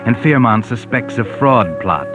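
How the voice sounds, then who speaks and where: Actor as sly American mystery narrator over music. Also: male voice, mid-range voice, expressive, a little slow, natural voice. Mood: sly, taunting. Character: sly, taunting, all-knowing mystery narrator. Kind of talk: 1940s radio mystery narration. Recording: tinny 1940s radio, music behind.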